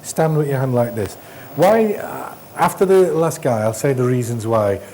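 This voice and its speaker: A man's voice: mid-range voice, expressive, a little mellow, low-quality recording. Man, middle-aged, endoscopy trainer, blunt clipped commands, faint background noise. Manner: blunt, brisk instructions, dismissive asides. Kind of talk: hands-on teaching, coaching a trainee. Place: hospital endoscopy training room.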